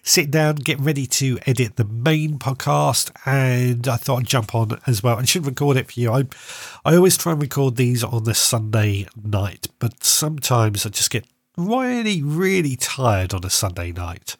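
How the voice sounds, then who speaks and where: A middle-aged man hosting his podcast, stuffed up from a cold and chatting in a relaxed way with frequent ums. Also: mid-range voice, normal speed, no room sound, clean studio sound. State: congested from a cold. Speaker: podcast host. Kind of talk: solo casual update.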